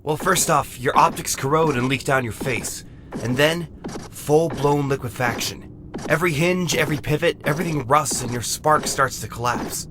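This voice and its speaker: A man's voice: a little high, moderate pace, background noise, close to an everyday voice. A man voicing an earnest, eager robot medic in an audio drama, chatty and explanatory. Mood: grave.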